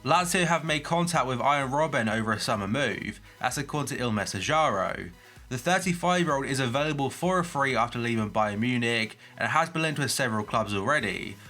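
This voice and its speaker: A man's voice: medium pitch, animated, brisk, pushed and bright, soft background music. A young man with a groggy, hay-fever voice, reading a football transfer news roundup with brief personal asides.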